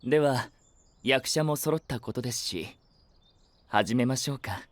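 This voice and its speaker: A man's voice: mid-range voice, expressive, a little slow, dry recording, natural voice. Man as a courteous, composed young knight. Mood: formal welcome. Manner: polite, formal, gentle. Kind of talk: Japanese anime audio drama acting.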